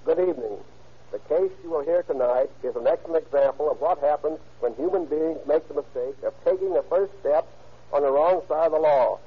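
Man, police official, stiff moralizing scripted speech, slightly high raspy voice, vintage radio recording. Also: a little fast, pauses often. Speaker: police detective captain introducing a case. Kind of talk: scripted address on 1930s radio. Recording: muffled old radio recording.

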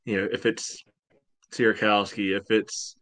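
A man with a lowish voice talks sports on a podcast, recorded dry and close with no room sound. He rambles casually through his analysis, hedging along the way. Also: level tone, unhurried, a bit bright, no room sound. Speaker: podcast co-host, game analyst.

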